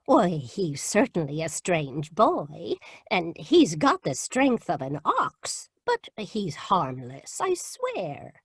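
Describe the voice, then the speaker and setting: A woman plays a hospitable, kindly farm wife in a radio play. She speaks slowly in a husky voice with lively rises and falls. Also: lowish voice, no echo, studio quality, full character voice. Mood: kindly, concerned. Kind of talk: old-time radio drama acting.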